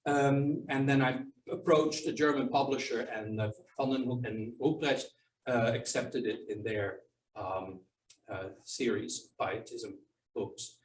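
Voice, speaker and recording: A man's voice: mid-range voice, unhurried. A man who is not a native English speaker, an author and archivist answering audience questions at a book launch, thoughtful and hesitant with frequent ums and restarts. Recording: echoey room, mic from far off, faint noise.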